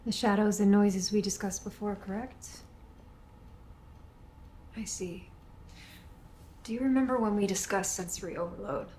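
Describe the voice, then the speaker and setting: A woman playing a calm therapist in an audio horror drama, speaking in a measured, reassuring way. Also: medium pitch, slight background noise, natural voice. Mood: calm, clinical. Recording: faint music behind the voice.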